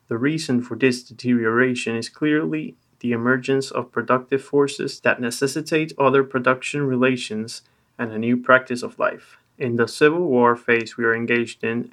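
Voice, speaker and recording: A man's voice: medium pitch, fairly bright tone. Man hosting a discussion podcast. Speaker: podcast host and discussion moderator. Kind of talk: scripted reading, then casual discussion.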